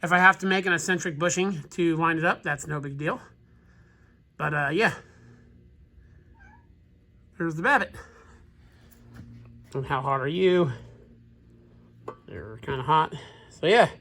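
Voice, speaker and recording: A slightly high-voiced man, stuffy and sniffly from a cold, talks his way through a repair in a machine shop. He muses aloud in a plain, easygoing way as he works. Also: a little slow, vocal fry, pauses often, sharp tone. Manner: thinking aloud, plain-spoken, musing. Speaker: machinist, channel host. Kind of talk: casual shop commentary while working.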